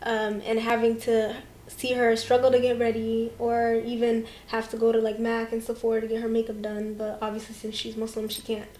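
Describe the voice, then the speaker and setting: A woman's voice: on the high side, monotone, a little fast, hardly any pauses, a little mellow. A young woman from Portland, Maine, a makeup artist talking casually as an interview guest, with many fillers. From Portland, Maine, USA. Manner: chatty, many fillers, quotes others.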